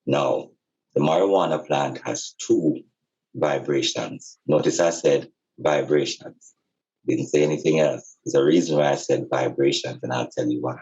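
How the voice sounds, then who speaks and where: A man giving an informal, explanatory spiritual talk in a deliberate, emphatic way, repeating phrases for stress. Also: rumbling low, darkish tone, poor audio. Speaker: podcast speaker and spiritual teacher.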